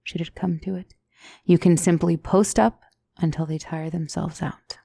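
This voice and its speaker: Woman voicing a demonic female mentor, gentle and measured, dark mellow tone, close dry sound, ASMR roleplay. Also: lowish voice, steady tone, creaky, no room sound. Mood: calm, instructive. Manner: measured, deliberate, soft-spoken, instructive. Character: gentle demonic female tutor, calm strategic battle mentor.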